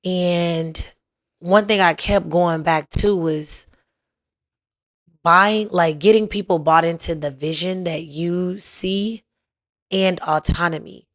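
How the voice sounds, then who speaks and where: A young woman hosting her own podcast in casual chat, her voice raspy and hoarse as it recovers, talking playfully with lots of slang. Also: fairly low pitch, steady tone. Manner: chatty, playful, slangy, drawn-out emphasis. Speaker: podcast host.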